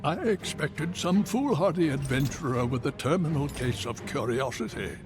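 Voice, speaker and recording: An actor playing a stern, measured male summoned spirit who speaks in a formal, dry manner. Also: medium pitch, natural voice. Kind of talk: video game voice acting. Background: game music.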